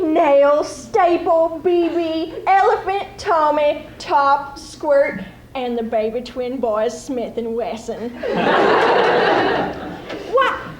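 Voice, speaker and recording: A young woman playing a gushing, folksy male reverend, giving a comic after-dinner speech. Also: high voice. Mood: proud, folksy. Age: young adult. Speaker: student speech competitor. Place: school event stage before an audience. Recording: echoey noisy hall.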